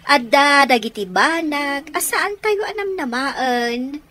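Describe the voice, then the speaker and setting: A woman's voice: high-pitched, animated, takes it slowly, sharp tone, heavily acted. A woman playing a nosy, scolding middle-aged mother in a radio drama, with an Ilocano accent. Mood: plaintive.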